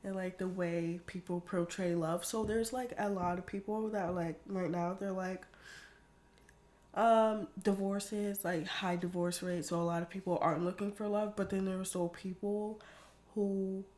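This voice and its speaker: A woman's voice: medium pitch, steady tone, a little slow, a little mellow. A young woman from the United States chatting casually on a podcast, full of fillers and frequent laughter. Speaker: podcast guest.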